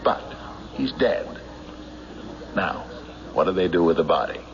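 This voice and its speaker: An actor playing a stubborn small-town county sheriff in a 1970s radio drama, speaking in an emphatic, insistent, deliberate way. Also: male voice, low voice, lively intonation, crowd noise. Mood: urgent reasoning. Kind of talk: 1970s radio drama acting, logbook narration and dialogue. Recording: dull 1970s radio recording, music behind.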